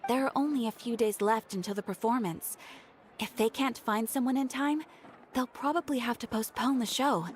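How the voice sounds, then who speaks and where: An actress playing a courteous, composed guild receptionist in a video game, speaking formally and in measured phrases. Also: female voice, a little high, a little hiss or hum, lightly acted. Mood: polite, helpful.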